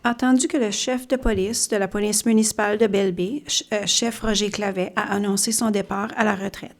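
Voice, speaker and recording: A town clerk reading a council resolution aloud in a formal, steady way. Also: female voice, mid-range voice, brisk. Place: council chamber.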